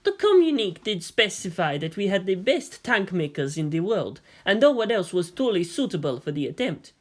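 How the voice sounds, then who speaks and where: A man voicing a calm, earnest ambassador in a story reading, with a formal, diplomatic manner. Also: high voice, normal speed. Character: deferential, persuasive male ambassador, calm and earnest.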